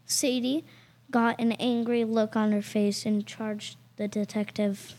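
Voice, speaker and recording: A woman's voice: a little high, flat delivery, unhurried, a little mellow, natural voice. A young girl playing the storyteller, reading scripted narration for an amateur radio mystery. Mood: narrating. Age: child or teenager.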